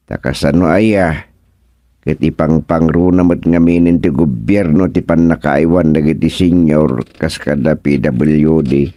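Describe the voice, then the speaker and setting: A man playing a flustered older village councilman in an Ilocano radio comedy, muttering and exclaiming with drawn-out words in an Ilocano accent. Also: low voice, warm tone, no echo, studio quality.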